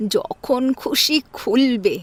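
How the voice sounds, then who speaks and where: A woman's voice: fairly high pitch, no room sound. A woman voicing a doting old grandmother in an audio story, indulgent, drawing out her words. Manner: drawn-out, indulgent. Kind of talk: Bengali audio story acting.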